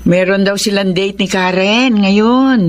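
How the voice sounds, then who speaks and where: A woman's voice: mid-range voice, measured pace, close to an everyday voice. A woman playing a chatty, bossy but welcoming middle-aged mother and aunt in a Filipino radio drama.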